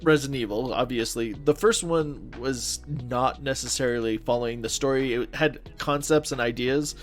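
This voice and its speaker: A man's voice: medium pitch, normal speed. A man co-hosting a podcast, talking casually in an informal, slangy and agreeable way. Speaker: podcast co-host. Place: recorded remotely, separate from co-host. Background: background music.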